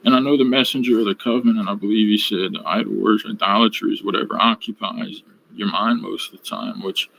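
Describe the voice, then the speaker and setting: A man reflectively recounting his personal faith journey in a study group, halting and searching for words over a video call. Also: on the low side, steady tone, gravelly, fairly bright tone, a little hiss or hum, low-quality recording.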